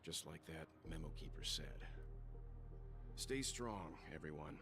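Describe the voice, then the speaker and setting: A reflective, dependable game character. His voice is low and creaky, and he speaks formally and steadily. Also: animated, unhurried, over music, exaggerated voice. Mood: steady, reassuring. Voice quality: deep, gravelly. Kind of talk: video game voice acting.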